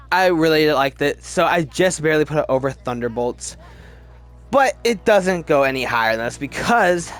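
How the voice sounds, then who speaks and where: A man with a slightly high voice talks casually as a video host over a music bed. He gushes like a fan, offers plain opinions and repeats words to press his point. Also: a bit bright. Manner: gushing fan enthusiasm, repeats words for emphasis. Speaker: video host ranking films.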